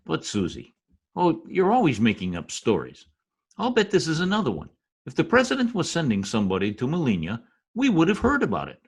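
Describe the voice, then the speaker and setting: A man's voice: a little high, wildly swooping pitch, fairly quick, breathy edge, full character voice. A man playing a pompous, scheming Latin diplomat in old-time radio drama style, theatrical in manner. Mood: skeptical.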